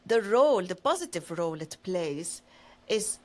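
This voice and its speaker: A woman's voice: lowish voice, sing-song, moderate pace, a little mellow. A woman co-hosting a podcast who speaks English as a non-native, talking in a thoughtful, rambling and hesitant way. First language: not Dutch, not English. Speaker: podcast co-host. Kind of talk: casual chat.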